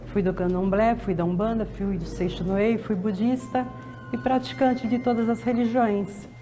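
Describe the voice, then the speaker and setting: A woman's voice: medium pitch, fairly even intonation, normal speed, mellow, soft background music. A woman in her fifties telling her story earnestly as an interviewee. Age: 50s. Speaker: interviewee, convert. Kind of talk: recorded testimony.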